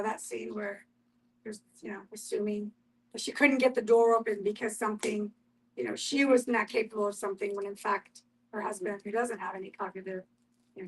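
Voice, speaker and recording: A woman's voice: mid-range voice, a little hiss or hum, poor audio. A woman facilitating a group discussion in a conversational way, putting questions to the group and inviting responses.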